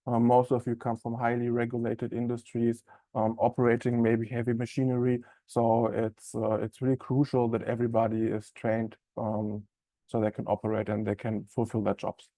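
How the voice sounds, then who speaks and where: German-accented man giving a software webinar. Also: mid-range voice, completely flat delivery, normal speed, dry recording. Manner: friendly, conversational, frequent ums and uhs. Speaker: software implementation specialist presenting a webinar. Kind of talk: live product webinar presentation.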